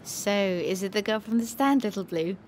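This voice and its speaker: A woman voicing a playful, friendly young character in an animated motion comic. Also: medium pitch, normal speed, a little hiss or hum, natural voice. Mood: teasing, curious. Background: music.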